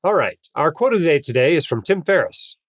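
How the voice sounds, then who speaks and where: A man's voice: on the high side, sing-song, brisk, dry recording, studio quality. Man with a cold, slightly nasal. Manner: upbeat, chatty, frequent "uh" fillers. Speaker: podcast host. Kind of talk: casual podcast monologue and interview intro.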